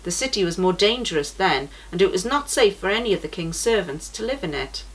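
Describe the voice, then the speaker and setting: A woman voicing a steady grown man who guides pilgrims, speaking calmly and reassuringly. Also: fairly low pitch, brisk, slightly rough, rough recording. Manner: calm, reassuring.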